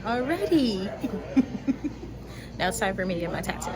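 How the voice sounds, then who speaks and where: A woman's voice: medium pitch, sing-song, moderate pace, a crowd in the background. A woman vlogging her day out with her family, chatty and playful, talking to the phone camera while out at shops and in the car. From Maryland, USA. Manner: playful, chatty, laughing asides. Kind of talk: casual vlog narration. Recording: music playing behind the voice.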